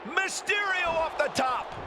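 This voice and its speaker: A man's voice: extremely high pitch, expressive, measured pace, full character voice. A man playing a dramatic ringside wrestling commentator in a video game. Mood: dramatic, urgent. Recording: loud arena noise, slams.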